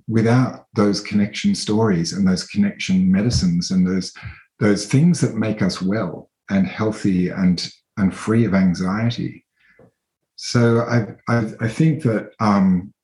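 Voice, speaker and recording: An Australian man in his thirties speaks as an interview guest, thoughtful and unhurried, pausing often with fillers and restarts. His voice sits fairly low. The room around him is echoey and roomy. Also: a little mellow. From country New South Wales, Australia. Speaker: interview guest, artist and researcher. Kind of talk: reflective conversational interview.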